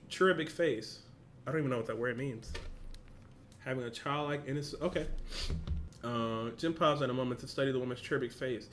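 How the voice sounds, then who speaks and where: A young man reads a story aloud for his reaction video, often tripping over words and breaking into laughter. His voice is bright and forceful, and a faint hum sits behind him. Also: medium pitch.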